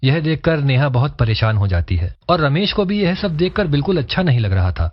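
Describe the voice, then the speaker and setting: A man's voice: fairly high pitch, fairly quick, slight background noise. Fields: Role: male story narrator. Sound: dull lo-fi. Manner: steady storytelling. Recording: dull lo-fi voice-over.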